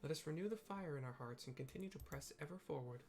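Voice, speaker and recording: Man reading as a humbled hero, gravelly. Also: on the high side, expressive, fast, darkish tone. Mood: contrite, resolute. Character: humbled, sincere male hero pledging loyalty.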